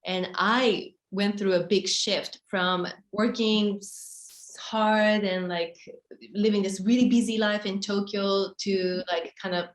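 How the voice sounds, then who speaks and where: Woman in her thirties, soft-spoken, halting with many fillers, roomy echo. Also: medium pitch, fairly even intonation. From Japan. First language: Japanese. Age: 30s. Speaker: interviewee, model and author. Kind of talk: casual interview answers.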